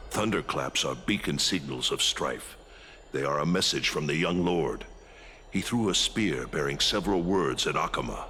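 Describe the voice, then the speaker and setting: Game role: gruff veteran warrior, bottom-register voice, wary, commanding and sardonic. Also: male voice, a bass voice, expressive, moderate pace, bright, forceful tone, a little hiss or hum, exaggerated voice. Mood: grave. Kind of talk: video game voice acting.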